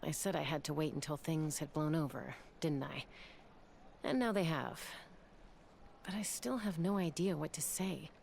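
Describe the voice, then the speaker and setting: Low, gravelly, guarded heroine. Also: female voice, moderate pace, darkish tone, slight background noise. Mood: awkward apology. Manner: hesitant, subdued. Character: guarded, awkward, tough young woman peacemaker.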